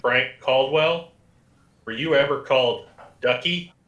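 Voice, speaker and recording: A man's voice: mid-range voice, takes it slowly, natural voice. A polite talking computer voiced by a man speaks formally and impassively, in radio sci-fi style. The voice is echoey and muffled, with music behind it. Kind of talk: old-time radio science fiction acting.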